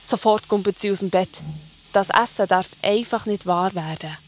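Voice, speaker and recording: A woman's voice: medium pitch, normal speed. A woman with a Swiss German accent narrating a scripted children's story in a colloquial storytelling manner.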